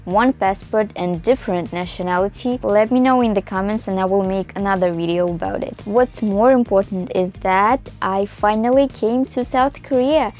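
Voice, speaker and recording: A woman in her mid-twenties from Russia, a native Russian and Tatar speaker, talks in a chatty, expressive way with a Russian accent in a casual vlog to camera. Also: mid-range voice, moderate pace, few pauses. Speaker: vlogger. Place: small room indoors. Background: music.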